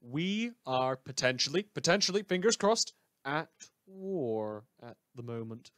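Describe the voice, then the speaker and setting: A man's voice: mid-range voice, expressive, measured pace, fairly bright tone, no echo, clean studio sound. A man playing a smug, rambling small-town radio news host in a fan audio drama, theatrical and wry, repeating phrases for emphasis. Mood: gleefully excited.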